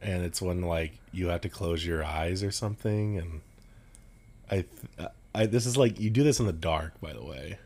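A young man co-hosting a podcast, talking loudly and rambling with frequent laughter. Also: sits low, moderate pace, breathy edge. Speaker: podcast co-host. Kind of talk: casual podcast chat.

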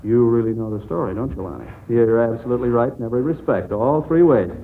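A man's voice: mid-range voice, quick pace, slight background noise. An American man hosting a 1940s radio quiz in a folksy, encouraging manner. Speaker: quizmaster of a children's quiz show. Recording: muffled old narrow-band radio recording.

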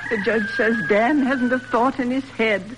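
An actress playing a worried, gentle farm mother in an earnest, expressive 1940s radio drama style. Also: fairly high pitch, moderate pace, lightly acted. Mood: hesitant worry. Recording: noisy old radio broadcast recording.

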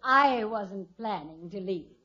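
A woman's voice: mid-range voice, lively intonation, slow, pushed and bright. A woman playing an overbearing, haughty older mother-in-law in a 1950s radio comedy. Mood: imperious. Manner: imperious, haughty, theatrical. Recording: dull vintage radio broadcast.

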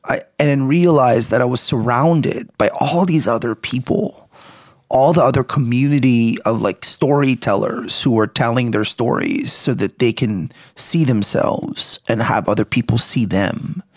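A man in his forties, from the Philippines, talking earnestly and reflectively as an interview guest, often checking in with "right?" and soft laughs. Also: mid-range voice, a little slow, no echo, clean studio sound.

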